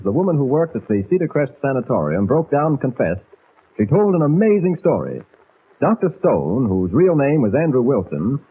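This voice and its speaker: A man's voice: a little high, slightly rough. A man narrating a 1940s radio drama in a suspenseful, theatrical scripted style. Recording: tinny, muffled 1940s radio recording.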